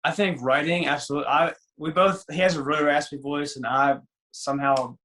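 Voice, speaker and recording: A man in his twenties with a raspy voice, a singer and former ballplayer chatting loosely as an interview guest, full of fillers and restarts. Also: a little high, fairly even intonation, a little fast, sharp tone. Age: 20s. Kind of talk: casual interview chat.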